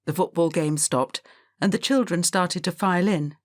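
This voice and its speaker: A woman narrating a children's audiobook in a calm, measured storytelling manner. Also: on the low side, dry recording, clean studio sound.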